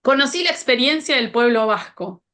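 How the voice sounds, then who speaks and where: A woman's voice: high voice, expressive, normal speed, sharp tone. A woman researcher reflecting earnestly on her work over a video call.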